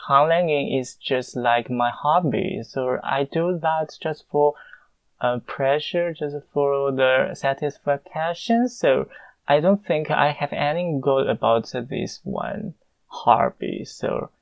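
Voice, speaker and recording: A young man with a Mandarin Chinese accent, a native Mandarin speaker, answering listener questions in a casual, halting monologue full of filler pauses. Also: fairly high pitch, creaky, no echo, lo-fi sound.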